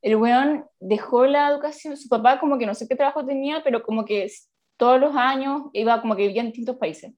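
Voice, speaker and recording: A woman's voice: high voice, brisk. A woman in her twenties with a Chilean accent, telling a story in a casual chat, full of slang and laughter. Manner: animated, slangy, laughs often.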